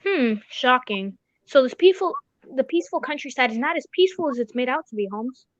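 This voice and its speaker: Dull dry recording, woman acting genial gentleman storyteller, high-pitched, old-fashioned, polite, sentimental, radio drama. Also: expressive, fairly quick, no echo. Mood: shocked.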